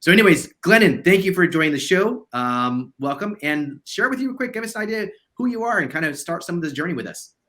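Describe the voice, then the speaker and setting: A man's voice: high voice, expressive, a little fast, few pauses, a bit bright. A man hosting a podcast, chatting in a casual, enthusiastic and rambling way. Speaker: podcast host.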